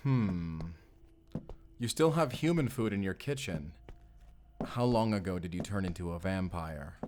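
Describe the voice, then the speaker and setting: A man's voice: sits low, expressive, a little slow, darkish tone, a little hiss or hum. A man playing a mysterious Spanish vampire slayer in an audio drama, wary, terse and commanding. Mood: probing. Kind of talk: cinematic audio roleplay acting.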